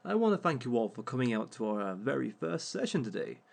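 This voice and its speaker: A man's voice: mid-range voice, big pitch swings, vocal fry. A man playing a smug male villain who hosts a dating workshop, speaking in a dry, teasing way to an unseen audience. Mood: welcoming. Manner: dry, teasing, unhurried, talks to unseen listeners. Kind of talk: audio roleplay monologue.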